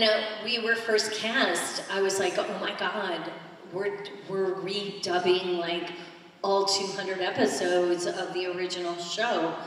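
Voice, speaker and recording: Woman, mid pitch, animated storytelling, distant mic, long hall reverb. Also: slight background noise. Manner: animated storytelling, quotes herself. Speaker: voice actress on a panel. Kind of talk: casual panel talk. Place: large convention hall. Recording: echoey convention hall, far from mic.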